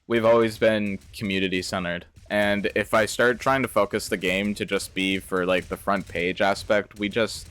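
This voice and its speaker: A young man in his twenties hosting a live game stream, his voice sitting on the low side. He reads chat aloud and answers in loose, slangy banter, swearing freely and laughing between remarks. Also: fairly even intonation, normal speed, hardly any pauses, fairly bright tone. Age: 20s.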